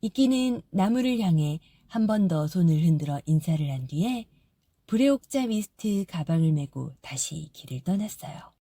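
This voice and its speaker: A woman narrating a fairy tale audiobook in a soft, gentle storytelling manner. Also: fairly low pitch, expressive, normal speed, no echo.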